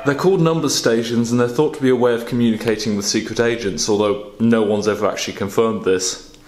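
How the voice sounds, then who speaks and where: Young man, medium pitch, casual vlog presenter, clear background noise. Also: steady tone, fast, echoey room. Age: young adult. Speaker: presenter of a hobby radio video. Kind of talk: casual explainer vlog to camera. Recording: clear background noise, some outdoor ambience.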